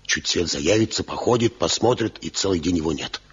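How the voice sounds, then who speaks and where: An actor playing a grave, respected old master craftsman who gives firm counsel in a radio drama, with a gruff, husky voice. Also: low-pitched, fairly quick, a little hiss or hum, lightly acted. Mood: grave, reporting. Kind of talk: Soviet radio drama acting. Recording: dull 1970 radio play recording.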